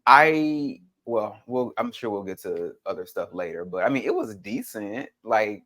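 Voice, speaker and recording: A man's voice: lowish voice, very animated, a little slow, a bit bright. A man chatting casually as a podcast panelist, slangy and given to swearing. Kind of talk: casual group chat recapping a TV show.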